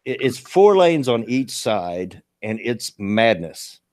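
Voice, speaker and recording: A man in his late fifties with a gravelly voice, hosting a casual livestream talk show and reading local news in a folksy, rambling way. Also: mid-range voice, very animated, takes it slowly, darkish tone, no room sound, studio quality. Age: 50s. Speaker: show host.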